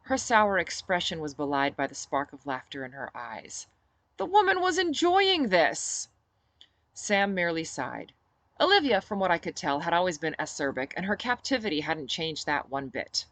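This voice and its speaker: Woman, storyteller, slightly low pitch, animated intonation, dry recording, first-person narration. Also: normal speed, dry recording. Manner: dramatic reader who shifts into character for dialogue. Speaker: author reading own novel. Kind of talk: first-person audiobook-style narration, expressive.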